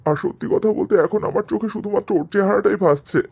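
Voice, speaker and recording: A man's voice: high-pitched, fast talker, vocal fry, a little mellow, husky, muffled recording, heavily acted. Man acting earnest, lovesick young cartoon son. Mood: earnest, troubled. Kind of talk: Bengali cartoon family drama acting.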